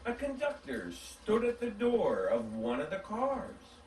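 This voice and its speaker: A man's voice: high-pitched, wildly swooping pitch, a little slow, darkish tone. A man in his forties speaking as a host, giving a holiday message and reading a storybook aloud. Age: 40s. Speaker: host of a model railroad channel. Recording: roomy, distant mic, faint background noise.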